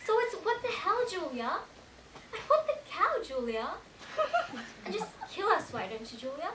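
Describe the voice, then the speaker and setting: A woman's voice: high voice, expressive, moderate pace. A young woman playing a shaken teenage girl in a dramatic monologue, telling her story in a colloquial, run-on way. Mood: frantic, exasperated. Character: shaken teenage girl recounting a car crash. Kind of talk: dramatic stage monologue, first-person storytelling. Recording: echoey room, distant mic, faint noise.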